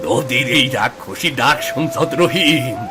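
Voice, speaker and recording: A fearful, foreboding village man in his fifties , played by an actor, with a rural Bangladeshi accent. His delivery is grave and theatrical, at a middling pitch. Music is plainly audible behind him. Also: lively intonation, measured pace, close to an everyday voice. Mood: alarmed, despairing. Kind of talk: dramatised Bengali story acting.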